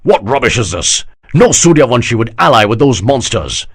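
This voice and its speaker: Stern proud general, husky and unbending, lively rise and fall, read by a male audiobook narrator. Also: medium pitch, moderate pace, voice pushed up, exaggerated voice. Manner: scornful, unbending. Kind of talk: audiobook character voice.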